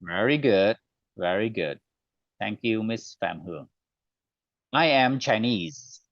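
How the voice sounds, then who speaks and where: A man with a Vietnamese accent, whose first language is Vietnamese, leading an online teacher training session and slowing down on the phrases he models. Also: fairly low pitch, lively intonation, no room sound. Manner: clear, deliberate classroom delivery, slows on model phrases. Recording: dull, dry online call audio.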